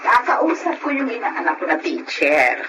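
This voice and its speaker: A woman with a Filipino accent playing a domineering, meddling older mother-in-law and grandmother in a radio drama, speaking briskly and insistently. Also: medium pitch, very animated, heavy background noise, lightly acted.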